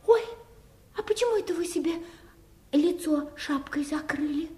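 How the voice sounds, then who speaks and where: A woman playing a gentle, naive young princess in a fairy-tale audio play, childlike and artless in manner with breathy exclamations. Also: high voice, normal speed, echoey room, close to an everyday voice. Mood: amused. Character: gentle naive young princess, tearful, self-doubting, easily delighted. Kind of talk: theatrical fairy-tale radio play acting.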